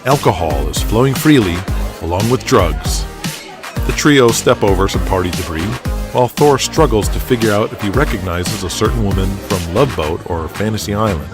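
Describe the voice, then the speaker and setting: A man's voice: on the low side, animated, moderate pace. A man reading scripted dramatic narration as the narrator of an audio drama. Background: music.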